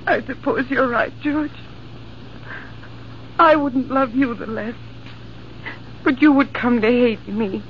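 An actress playing a devoted young sweetheart in old-time radio melodrama, tender, emotional and theatrical. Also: female voice, fairly high pitch, slow, long pauses. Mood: tearful, loving. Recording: dull, noisy 1940s-50s radio recording.

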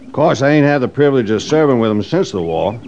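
A man's voice: medium pitch, expressive, fast talker, creaky, noisy background. An American man acting a folksy, humble veteran cavalryman in a 1950s radio western, with rustic, modest speech. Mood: humble, proud. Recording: dull vintage radio recording.

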